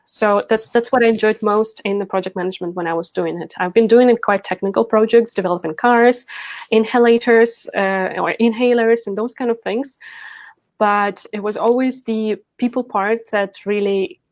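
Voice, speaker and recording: A woman's voice: mid-range voice, normal speed, no room sound. A woman from Ukraine, speaking conversationally as an interview guest and coach, telling her own story.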